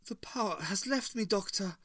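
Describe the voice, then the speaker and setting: A man voicing a frightened, shaken aging male dictator in an audiobook, his voice pitched high and pleading. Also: moderate pace, bright, forceful tone, no echo.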